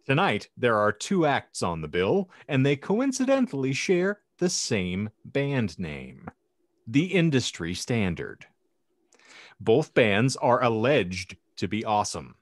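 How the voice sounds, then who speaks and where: A man's voice: mid-range voice, very animated, slow, no echo, studio quality. A man hosting a podcast panel discussion, posing hypotheticals in elaborate, mock-formal phrasing. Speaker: podcast host.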